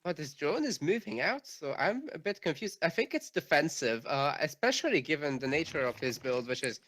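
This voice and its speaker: A man's voice: fairly high pitch, very animated, a little fast, a bit bright. Hungarian-accented male co-caster. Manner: explanatory, analytical. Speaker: guest co-caster. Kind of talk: casual game analysis.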